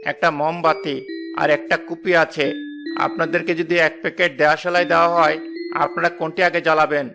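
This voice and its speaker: A man's voice: fairly high pitch, flat delivery, moderate pace, gravelly, over music. A man voicing a formal court servant who makes announcements in a fairy-tale cartoon. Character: formal royal court announcer serving the princess.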